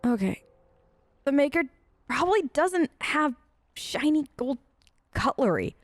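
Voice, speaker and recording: A woman's voice: sits high, big pitch swings, measured pace, no room sound. A woman hosting a gaming video, improvising in-character replies in a sarcastic, conversational way on a close mic. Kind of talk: improvised in-character role-play let's play.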